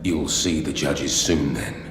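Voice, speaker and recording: A man's voice: extremely low pitch, slow, husky, heavily acted. A man playing a grim, blunt warrior in a video game, terse and cold in his delivery. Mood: stern, unmoved. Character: grim, blunt warrior freed from prison, deep-voiced man. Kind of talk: video game cutscene acting. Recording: loud music over the voice.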